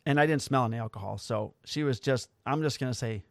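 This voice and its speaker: A man's voice: mid-range voice, fast talker, gravelly, no room sound. A man with a mid-range voice recounting a shift in casual, profane detail. He is blunt and wry, and the sound is dry and close, with no room behind it. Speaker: police officer and podcast host. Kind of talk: casual storytelling.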